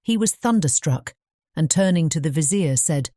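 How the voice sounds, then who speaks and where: A woman reads a scripted storybook in a measured, formal way. Her voice sits very low and has a dark, mellow tone. The recording is close and dry. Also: animated, dry recording. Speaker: audiobook narrator.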